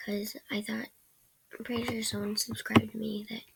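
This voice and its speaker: A woman's voice: a little high, level tone, moderate pace, vocal fry. A sick teenage girl who is losing her voice, giving hesitant, rambling commentary while she plays a game on her computer. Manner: hesitant and rambling, often trailing into soft mumbles. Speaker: gaming channel host. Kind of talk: casual gameplay commentary. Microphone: computer microphone during screen recording. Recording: loud background noise with clicks.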